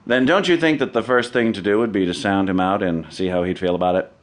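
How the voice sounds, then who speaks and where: An actor playing a shrewd, unflappable American defense lawyer in a radio drama, with a clipped, questioning manner. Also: male voice, deep voice, fast talker, natural voice. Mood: measured. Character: shrewd, cool male criminal defense lawyer.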